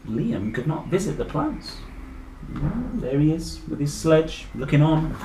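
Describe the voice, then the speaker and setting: A British man reading a picture book aloud to children, enthusiastic and expressive, chatting directly to his young listeners. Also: medium pitch. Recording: echoey room with faint background noise.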